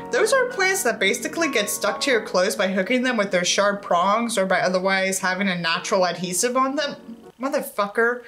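Lively, high-pitched sarcastic young woman. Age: young adult. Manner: theatrical, sarcastic, profane. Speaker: commentary host reading a book aloud. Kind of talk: dramatic book reading with sarcastic asides. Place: indoors, small set with tinsel backdrop.

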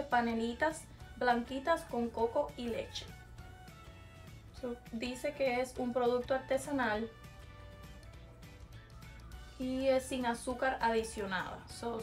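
A young woman with a Cuban Spanish accent, chatting casually to camera in a small bedroom and slipping English words into her talk. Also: fairly high pitch. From Cuba. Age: young adult. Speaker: vlogger. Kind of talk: casual vlog, taste test.

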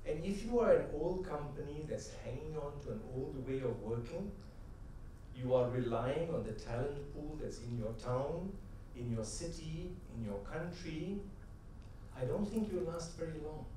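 Middle-aged male keynote speaker, mellow tone, lively storytelling, big echoey hall. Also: fairly high pitch, measured pace, slight background noise. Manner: animated storytelling, gestures, rhetorical questions. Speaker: conference speaker. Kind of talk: stage keynote talk with anecdotes. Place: large conference hall stage. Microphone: headset mic. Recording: echoey hall, heard from a distance.

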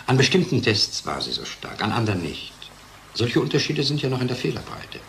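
An actor playing a defensive, world-weary research scientist in German-style radio drama dialogue. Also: male voice, mid-range voice, big pitch swings, brisk, fairly bright tone, a little hiss or hum. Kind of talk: dialogue radio-play acting.

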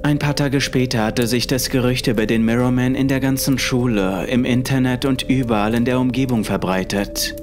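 A man's voice: medium pitch, steady tone, moderate pace, few pauses. A man narrating a scripted first-person horror story. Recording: music under the voice. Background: music.

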